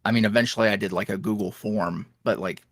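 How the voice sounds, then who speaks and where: A man talking candidly as an interview guest about his work as an audio creator, with quick self-deprecating asides. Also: fairly low pitch, fairly even intonation, no echo. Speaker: guest, ASMR roleplay creator. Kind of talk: casual interview chat.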